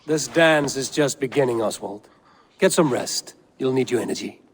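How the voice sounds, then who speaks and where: An actor playing a cool, self-assured Viking raider with a dry, taunting wit in a video game. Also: male voice, mid-range voice, moderate pace, slight background noise, lightly acted. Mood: calm, assured. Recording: game audio with music behind.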